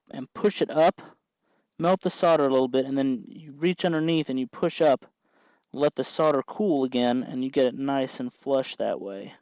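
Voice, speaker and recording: A man hosting an informal how-to tutorial, explaining step by step in an unhurried way as he works with his hands. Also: mid-range voice, a little mellow, no room sound, rough recording. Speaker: host of a DIY electronics tutorial.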